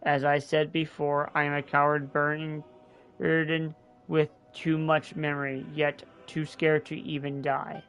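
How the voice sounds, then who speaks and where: A man's voice: a little high, completely flat delivery, a little slow, fairly bright tone, smooth, faint music behind. A man reading as a weary old scholar. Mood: musing. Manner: earnest, thoughtful reading with restarts. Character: weary old scholar of gods, guilt-ridden.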